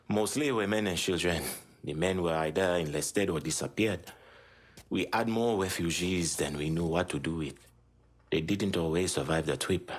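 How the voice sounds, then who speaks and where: Embittered Haitian crime boss, middle-aged. Low, husky, grave game-drama delivery with a Haitian accent. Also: male voice. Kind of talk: dramatic video game dialogue.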